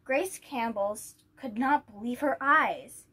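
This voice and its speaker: A woman's voice: a little high, a little slow, pushed and bright, slightly rough. A woman reading a children's picture book aloud as a storyteller. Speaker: story reader.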